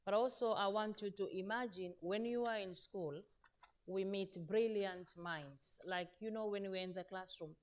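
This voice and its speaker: A woman's voice: medium pitch, normal speed. A woman, a veteran teacher speaking earnestly on a conference panel, with many fillers and self-corrections. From Zanzibar, Tanzania. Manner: earnest, addresses audience directly, frequent fillers and self-corrections. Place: conference stage in Turkey.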